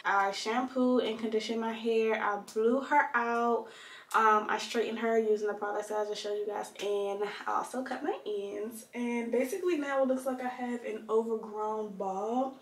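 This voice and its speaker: A woman's voice: a little high, steady tone, moderate pace. A woman in her twenties chatting casually to her camera as a vlogger, calling her viewers girl. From United States. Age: 20s.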